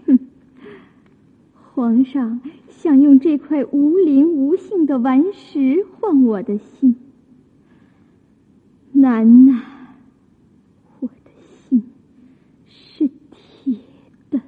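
A woman's voice: high voice, drawn-out words, long pauses. A woman acting an anguished, defiant young concubine in a theatrical Chinese radio drama, melodramatic and plaintive. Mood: scornful. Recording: phone-like lo-fi sound in long reverb.

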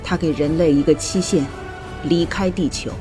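A woman playing a solemn scientist in an audio drama, reciting prophetic scripture in a measured way. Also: deep voice, fairly even intonation, over music, lightly acted.

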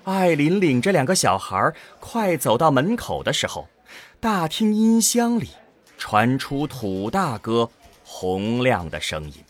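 A man's voice: medium pitch, wildly swooping pitch, measured pace. A man delivering scripted narration for an audio drama as its storyteller.

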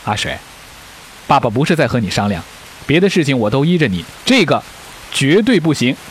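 A man's voice: medium pitch, very animated, brisk, lightly acted, rough recording. An actor in a radio drama playing a stern, protective middle-aged father, lecturing firmly. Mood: stern, pleading. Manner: firm, lecturing. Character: stern, protective widowed father of a teenage girl. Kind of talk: Chinese radio drama acting. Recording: faint hiss, rain-like noise.

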